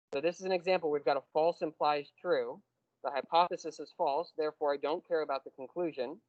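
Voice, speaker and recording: Man lecturing, patient, slightly high voice, dry dull lo-fi sound. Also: dry recording. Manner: patient, explains step by step, slows on key terms. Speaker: math lecturer. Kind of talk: classroom lecture.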